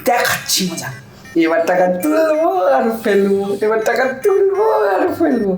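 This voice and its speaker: A bossy, illiterate older housewife in a comic audio drama, acted by a woman, swings through a very lively range of pitch. She scolds, wheedles and boasts with great self-importance. The room rings around her and faint music plays underneath. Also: moderate pace, reverberant. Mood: smug.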